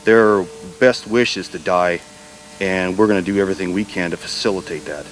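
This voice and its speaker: A man's voice: low-pitched, fairly quick, heavy background noise. A man speaking as a military instructor, explaining in an interview.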